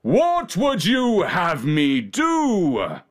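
A man voicing a pompous, emotional storybook king who speaks in grand, drawn-out exclamations. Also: high voice, wildly swooping pitch, pitched above the performer's own voice, full character voice. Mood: indignant. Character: pompous, emotional old-fashioned male king.

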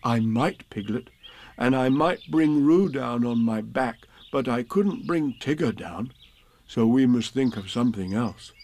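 A man voicing a placid, kindly toy bear who speaks slowly and solemnly in a children's storybook reading. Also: mid-range voice, expressive, darkish tone. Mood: thoughtful. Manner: slow, deliberate, solemn.

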